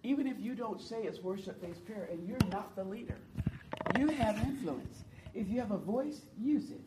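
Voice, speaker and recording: A woman with a low voice leading a church teaching on worship, talking earnestly and encouraging her listeners to join in. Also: animated, moderate pace, very noisy. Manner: earnest, encouraging, speaks to the audience and invites replies. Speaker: worship leader teaching a group. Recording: roomy sound from a distant mic, faint ambience.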